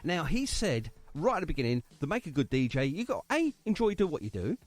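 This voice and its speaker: A man's voice: fairly high pitch, wildly swooping pitch, fairly quick, dry recording. An English man working as a radio DJ, chatting enthusiastically and emphatically in an interview.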